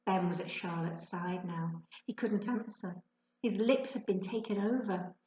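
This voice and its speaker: A woman giving an expressive children's storybook narration, like a teacher reading to her class. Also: medium pitch, measured pace. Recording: echoey untreated room.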